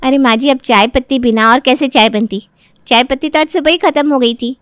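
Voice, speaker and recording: A woman's voice: high voice, fairly quick, fairly bright tone, no echo, studio quality. A woman playing a defiant, crafty young daughter-in-law who argues and complains in a dramatized moral story. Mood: feigned innocence. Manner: argumentative, protesting.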